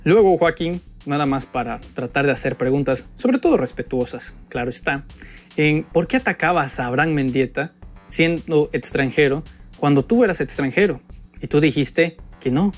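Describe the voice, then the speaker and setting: A man's voice: a little high, expressive, moderate pace. Pointed young Mexican man over music. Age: young adult. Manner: pointed, sarcastic, rhetorical. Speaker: political commentator. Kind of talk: spoken opinion monologue addressed to an opponent. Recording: background music under the voice.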